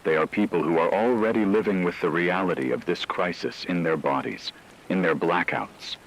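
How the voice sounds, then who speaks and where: A man playing a grave, resolute veteran crisis broadcaster, delivering a solemn, deliberate monologue on emergency radio. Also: low voice, slight background noise, low-quality recording. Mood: earnest, urgent. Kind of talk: scripted dramatic radio monologue.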